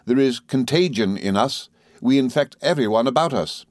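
Man playing an earnest, gently reproving young husband. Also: medium pitch, lively intonation, dry recording, clean studio sound, pitched above the performer's own voice, close to an everyday voice. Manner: measured, formal, entreating. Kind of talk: dramatised classic novel reading.

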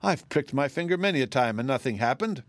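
A man voicing a plain-spoken, unflappable little girl in a storybook, matter-of-fact with drawn-out emphasis. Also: medium pitch, lively intonation, dry recording, studio quality, natural voice. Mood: dismissive.

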